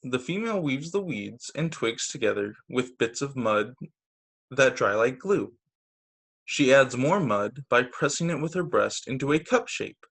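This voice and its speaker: A man teaching young children through a read-aloud storytime on a video call, with expressive, deliberate phrasing. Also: mid-range voice, creaky, slightly rough. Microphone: video call microphone.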